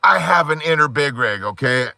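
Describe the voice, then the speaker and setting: A man hosting a support group live stream, giving a fervent, rambling pep talk full of metaphors, his voice hoarse, from inside a parked car at night on a phone. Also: fairly high pitch, animated, moderate pace, bright, forceful tone, no room sound.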